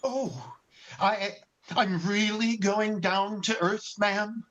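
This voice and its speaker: Man playing a slow, timid apprentice angel. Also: sits high, steady tone, lo-fi sound, noisy background. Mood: eager, polite. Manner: hesitant, deferential. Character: eager, timid, very old apprentice angel, polite. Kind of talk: old-time radio drama acting. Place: remote video call recording.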